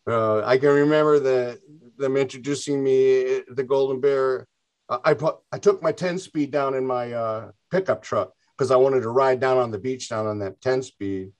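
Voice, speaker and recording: A man's voice: mid-range voice, normal speed, a little mellow. An older man in a casual interview, chatting in a rambling way with frequent restarts. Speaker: interview guest, musician.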